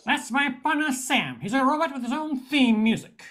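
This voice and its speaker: Woman playing a high-voiced wry noir narrator. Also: animated, moderate pace. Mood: dry. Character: wry nightclub owner narrating a space noir. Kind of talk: amateur old-time radio play narration.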